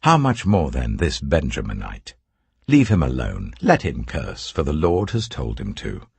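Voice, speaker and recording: A man playing a sorrowful, commanding old king in a solemn, measured audiobook reading of scripture. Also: very deep voice, huge pitch swings, vocal fry, breathy edge, dry recording, exaggerated voice. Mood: pained, resigned.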